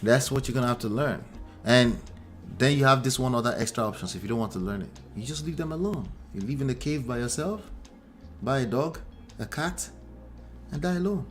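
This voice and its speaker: A man's voice: mid-range voice, a little fast. A man co-hosts a casual talk with music playing faintly behind him. He talks bluntly and with animation, often repeating a phrase to drive it home. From Nigeria. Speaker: talk show co-host. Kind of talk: casual relationship chat.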